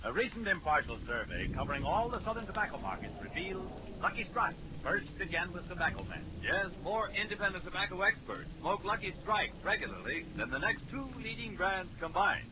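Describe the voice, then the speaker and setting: A man's voice: high voice, big pitch swings, fairly quick, hardly any pauses. A man announcing a 1940s radio commercial in an emphatic, declarative salesman's manner. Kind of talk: 1940s radio advertising read. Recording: noisy 1940s radio recording.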